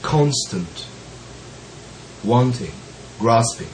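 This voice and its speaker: A man who is recovering from bronchitis, so his voice sounds deeper than usual, gives a slow, reflective teaching talk with frequent pauses and the occasional soft laugh. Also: lively intonation, a little hiss or hum, poor audio. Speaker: Zen teacher.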